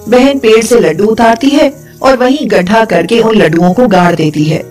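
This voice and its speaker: A woman's voice: fairly high pitch, moderate pace, darkish tone, faint music behind. A woman narrating a scripted folk tale as a storyteller.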